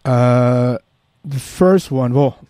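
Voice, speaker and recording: Brief: Mexican man hosting in English, halting and friendly-paced questions, mellow voice. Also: medium pitch, no echo, clean studio sound. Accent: Mexican Spanish. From Mexico City. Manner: halting, many uhs, repeats "excellent". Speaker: radio show host. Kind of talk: interview.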